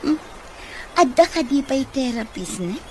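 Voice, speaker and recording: Sly scheming accomplice, swooping highish voice, slow pace, noisy recording. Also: female voice, animated. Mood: sly, teasing. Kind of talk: Ilocano radio comedy-drama acting. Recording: noisy radio drama mix.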